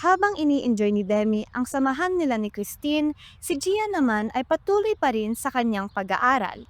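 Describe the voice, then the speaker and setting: A woman's voice: high-pitched, sing-song, moderate pace, dry recording. A woman with a Filipino accent reading scripted narration for a radio drama.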